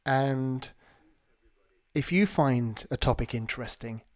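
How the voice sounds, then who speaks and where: A man's voice: mid-range voice, slow. A man, talking casually and explaining things to listeners as the host of an online show. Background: backing music.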